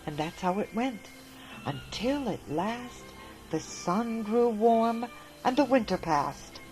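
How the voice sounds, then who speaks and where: A woman reading a rhyming children's story aloud in a gentle, sing-song manner. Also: a little high, expressive, slow pace, soft background music, low-quality recording. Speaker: narrator.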